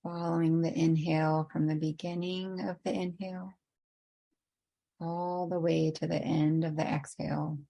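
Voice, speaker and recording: Low, mellow woman guiding meditation. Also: little pitch movement, gravelly, rough recording. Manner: slow, unhurried, gently inviting delivery with long pauses. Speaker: meditation guide. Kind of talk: guided meditation narration.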